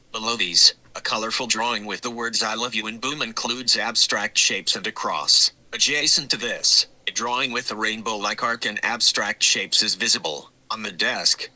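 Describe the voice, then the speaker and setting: A man's voice: fairly low pitch, pushed and bright, poor audio. A synthetic man's voice reading text and descriptions aloud, like a screen reader.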